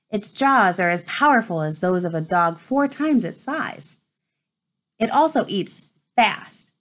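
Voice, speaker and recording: A woman in her thirties reading a children's nature book aloud at home, with measured storytime phrasing. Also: medium pitch, low-quality recording. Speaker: read-aloud presenter. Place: small furnished room at home, indoors.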